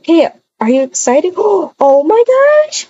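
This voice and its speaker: A woman's voice: very high-pitched, very animated, slow, mellow, dry recording, highs cut off. A woman improvising a voiced video-game roleplay, chatting and narrating her own actions aloud.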